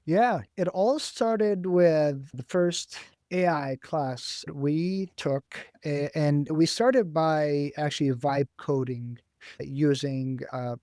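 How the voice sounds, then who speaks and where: Young man, first language Arabic, interview guest, slow careful pace, dry close sound. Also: fairly high pitch, mellow, no room sound. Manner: measured, thoughtful, with frequent "uh" pauses. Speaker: graduate student guest. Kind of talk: career interview.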